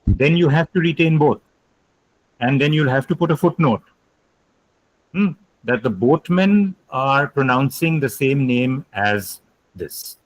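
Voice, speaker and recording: A man's voice: mid-range voice, lively intonation, measured pace, no echo, rough recording. Emphatic male lecturer on a video call. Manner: emphatic, explanatory, repeats key points. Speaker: guest lecturer. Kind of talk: online lecture with questions.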